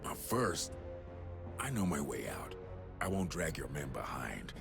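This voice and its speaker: An actor playing a smug, wily, sardonic mercenary captain in a video game, with breathy asides. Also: male voice, rumbling low, big pitch swings, unhurried, over loud music, exaggerated voice. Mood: sardonic, sly. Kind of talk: video game cutscene acting.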